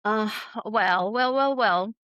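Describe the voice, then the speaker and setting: A middle-aged woman from Russia, speaking at an unhurried, deliberate pace. She answers reflectively and leans on fillers and tag questions. The recording is dry and close. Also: mid-range voice, a bit bright, smooth, no echo, lo-fi sound. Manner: thoughtful, frequent "um" and "right?", tag questions. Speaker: author guest. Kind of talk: conversational interview answer.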